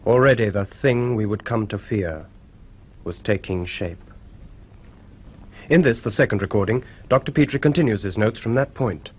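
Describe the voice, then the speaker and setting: An actor playing a sober journalist, narrating a radio mystery in a deliberate, ominous manner. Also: male voice, on the low side, darkish tone, slightly rough. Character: sober male journalist presenting an ominous archive investigation. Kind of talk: scripted dramatic narration.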